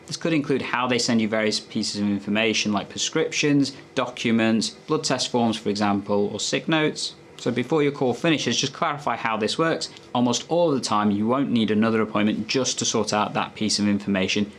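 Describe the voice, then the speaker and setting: A man's voice: on the low side, a bit bright, slight background noise. A man presenting health tips as a doctor, explaining in a conversational, instructive way to viewers.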